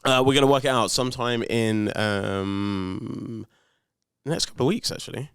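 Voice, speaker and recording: Podcast co-host, man around forty, playful and quick, recorded close and dry. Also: medium pitch, fairly bright tone, smooth, dry recording. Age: 40s. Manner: teasing, quick banter. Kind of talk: casual chat. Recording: dry close studio sound.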